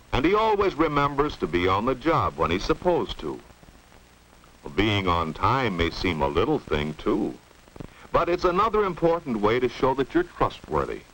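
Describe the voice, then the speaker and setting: Lively instructional film narrator, male. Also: lowish voice. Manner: didactic, asks rhetorical questions. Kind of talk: 1950s scripted instructional narration. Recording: noisy old 1950s film soundtrack.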